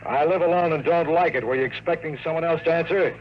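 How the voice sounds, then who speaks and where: A man's voice: on the high side, quick pace, highs cut off, slight background noise, natural voice. An American man acting a sardonic, hard-boiled private detective in a 1950s radio drama, delivering flippant lines and noir narration. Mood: wry. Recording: vintage radio recording with music.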